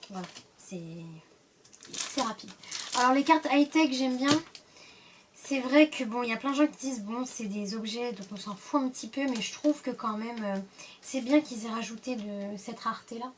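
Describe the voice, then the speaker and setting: A woman's voice: a little high, far from the mic, a little hiss or hum. A woman with a cold, casually opening card packs and reading the card names aloud, often hesitating and trailing off. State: stuffy from a cold. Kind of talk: casual pack-opening commentary.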